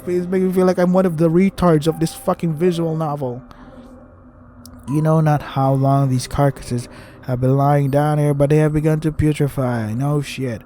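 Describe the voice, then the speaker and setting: Male gaming host, slightly high mellow voice, profane irritated read-aloud with stumbles, some background noise. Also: fairly even intonation, moderate pace. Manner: crude, profane, irritated, stumbling over words. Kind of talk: reading a visual novel aloud with ranting commentary.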